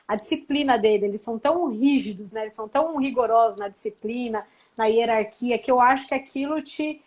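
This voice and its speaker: Reflective woman in her thirties, fairly high voice. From Brazil. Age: 30s. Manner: reflective, measured, adds tag questions. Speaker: guest, doctor and co-author. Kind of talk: casual interview answers.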